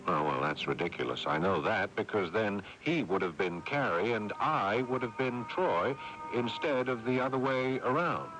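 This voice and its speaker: Deep-voiced, weary dutiful elder brother. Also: male voice, animated, normal speed, a bit bright, poor audio. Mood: troubled musing. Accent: American. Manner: hesitant, musing, exasperated. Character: weary dutiful elder brother, level-headed, quietly resentful grown son. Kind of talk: radio drama acting, narration and dialogue. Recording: 1980s AM radio broadcast recording.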